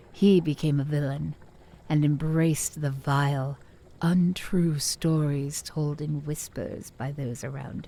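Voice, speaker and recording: Woman doing a mysterious old-lore wise woman, low soft dark voice, solemn n respectful, fantasy audio play. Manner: solemn, deferential storytelling. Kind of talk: fantasy audio drama acting.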